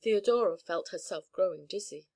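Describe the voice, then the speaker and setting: A woman narrating a story in a calm, gentle, soothing reading. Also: lowish voice, moderate pace. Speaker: audiobook narrator.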